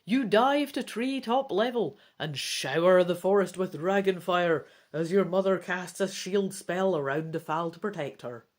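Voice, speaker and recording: A gamebook reader and host giving dramatic, deliberate storybook narration with casual asides. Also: medium pitch. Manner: theatrical, deliberate phrasing.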